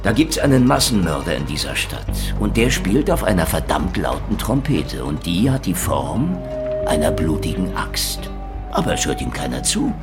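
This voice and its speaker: An actor playing a cunning, hard-boiled private detective in a radio play, sarcastic, mock-polite and crude. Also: male voice, low-pitched, sing-song, moderate pace, over loud music. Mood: sardonic, pressing. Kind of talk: German radio play acting and first-person narration.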